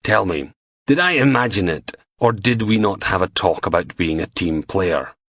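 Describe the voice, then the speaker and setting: A man's voice: low-pitched, lively intonation, fairly bright tone, husky, heavily acted. A man voicing a smug, condescending male head of detectives. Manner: condescending, measured.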